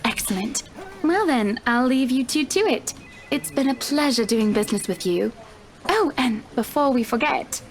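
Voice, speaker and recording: A woman's voice: high voice, lively intonation, normal speed, faint music behind. A woman playing a wealthy, pushy female house buyer in a visual novel, effusive and condescendingly polite. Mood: smugly gracious. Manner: effusive, condescending, addresses people with endearments.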